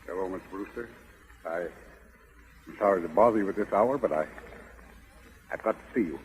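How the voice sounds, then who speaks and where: An actor playing a timid, guilt-ridden employee in 1930s radio comedy, hesitant, stammering and apologetic. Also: male voice, sits low, brisk, in a large hall, lightly acted. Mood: nervous, guilty. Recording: muffled, crackly 1930s radio broadcast.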